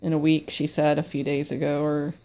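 Sniffly, gravelly-voiced woman dog trainer. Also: sits low, monotone, fairly quick, mellow. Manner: apologetic, rambling, repeats words. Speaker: service dog handler. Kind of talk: casual talk while training a dog. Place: cluttered room at home with wood floor.

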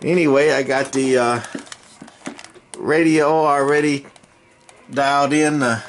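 A man giving a casual, unscripted hands-on demonstration of hobby radio gear, explaining it in a halting, matter-of-fact way. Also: mid-range voice, steady tone, slight background noise. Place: indoors at a home radio bench. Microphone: handheld camera mic, speaker behind camera.

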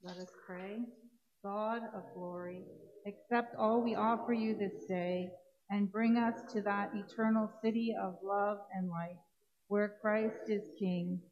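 A middle-aged woman priest leading a church service, reading set prayers in a measured, solemn way. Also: mid-range voice, steady tone. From Canada. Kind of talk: liturgical reading. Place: church sanctuary with congregation. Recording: dull lo-fi livestream sound.

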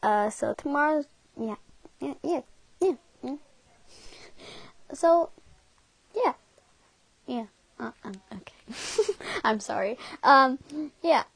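High-voiced, awkward, giggly young woman. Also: lively intonation, slow pace, vocal fry, long pauses, a bit bright, breathy edge, no room sound. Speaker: video creator dubbing fan comics. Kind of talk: casual, rambling vlog commentary.

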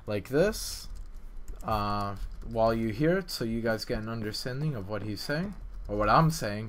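Casual, halting man talking games. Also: mid-range voice, a little hiss or hum. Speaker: video game map developer and video host. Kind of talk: casual gameplay commentary, development vlog.

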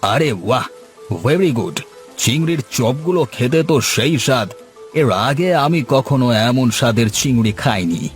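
A jolly middle-aged customer in a cartoon, as a man voices him, talking slowly and exclaiming over the food. His pitch leaps up when he is delighted. Soft music plays behind him. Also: lively intonation, lightly acted. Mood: pleased. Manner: exclaims loudly and savours every bite.

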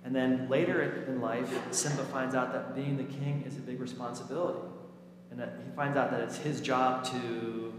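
A male pastor speaks to his congregation in an informal, joking manner at a medium pitch. His voice is a little raspy. It rings with heavy echo and long reverb through a church, under loud background noise. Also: flat delivery, moderate pace. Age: 30s or 40s. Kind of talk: sermon and children's message in church. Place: inside a church during a service. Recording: echoey church hall, noisy, long reverb.

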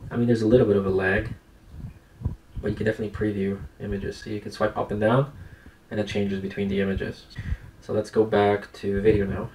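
Low-voiced man reviewing gadgets, noisy recording. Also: level tone, fairly quick, darkish tone. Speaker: product reviewer. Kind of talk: casual unboxing review commentary. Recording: clear background noise with clicks.

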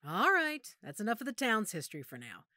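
A woman playing a knowledgeable werewolf pack member in an audio drama, speaking in a measured, authoritative, explanatory way. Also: fairly high pitch, wildly swooping pitch, pushed and bright, no echo, clean studio sound, exaggerated voice. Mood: serious, explanatory. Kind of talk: audio roleplay drama acting.

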